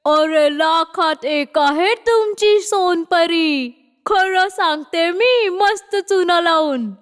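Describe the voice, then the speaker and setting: Woman acting a shrill, drawling rustic mother-in-law. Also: takes it slowly. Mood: gushing, folksy. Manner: rustic village speech with repeated catchphrase. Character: brash paan-chewing rustic mother-in-law, middle-aged woman, sly and chatty. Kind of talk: cartoon moral story dialogue. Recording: long reverb on voice.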